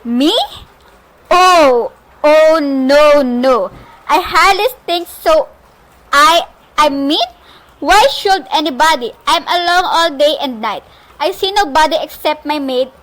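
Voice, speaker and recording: A woman's voice: sits high, fairly bright tone, poor audio. A woman playing an anxious, demanding bedridden wife in an amateur radio play, speaking insistently with nervous hesitations. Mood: shaken, protesting. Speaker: student actor in a group project.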